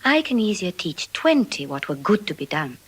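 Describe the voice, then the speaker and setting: An actress with a British accent playing a witty, world-weary young heiress in languid classical Shakespearean stage style. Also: female voice, on the low side, very animated, breathy edge. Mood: wry. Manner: languid, sighing, deliberate.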